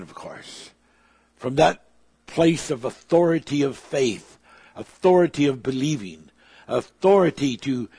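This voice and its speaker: A man's voice: on the high side, no echo. A man preaching a sermon, emphatic, with his volume swelling and dropping. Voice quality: gravelly, husky.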